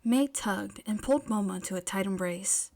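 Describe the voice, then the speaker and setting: A woman's voice: mid-range voice, normal speed, vocal fry, a little mellow, slight background noise. A woman reading scripted fiction aloud as the narrator of an amateur audio story. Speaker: podfic narrator.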